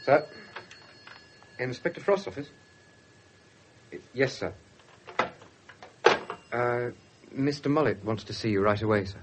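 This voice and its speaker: A man's voice: medium pitch, takes it slowly, pauses often, lightly acted. An actor playing a young, prim, disapproving junior policeman in a radio drama. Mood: dutiful. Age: young adult. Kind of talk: 1970s radio drama acting. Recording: noisy 1970s radio broadcast recording.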